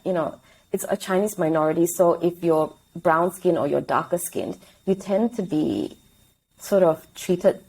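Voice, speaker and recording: A woman's voice: lowish voice, gravelly, mellow, low-quality recording. A woman in her thirties from Singapore, a podcast guest speaking in a reflective, hesitant way that often corrects itself. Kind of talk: casual interview.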